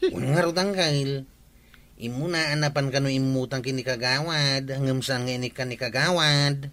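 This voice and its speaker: A man's voice: mid-range voice, steady tone, normal speed, a bit bright, close to an everyday voice. An actor playing a gossipy village man in an Ilocano radio comedy drama, with an Ilocano accent.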